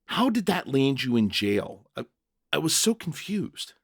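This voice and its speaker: Man playing emotional jailer, bewildered storyteller, highly animated pitch, unhurried, dry close sound. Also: gravelly, husky, dry recording, exaggerated voice. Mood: pleading, overwhelmed. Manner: stammering, blurting, dramatic. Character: young-hearted jailer narrator, bewildered, earnest, emotional father. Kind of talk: first-person dramatic story reading.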